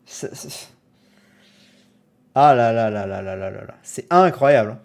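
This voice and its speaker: A young man reacting to his own old video on a live stream, animated, exclamatory and self-mocking. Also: medium pitch, slow, gravelly.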